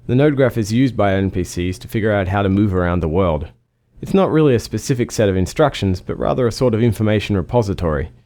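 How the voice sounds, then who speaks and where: Man, somewhat low voice, technical scripted commentary, game developer. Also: expressive, quick pace, few pauses, dark tone.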